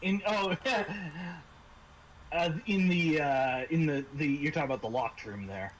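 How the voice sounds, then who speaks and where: A man runs a tabletop game as game master, improvising narration at a slow pace. He pauses often mid-phrase. There is some background noise behind him. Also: on the high side, low-quality recording. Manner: halting, pausing mid-phrase.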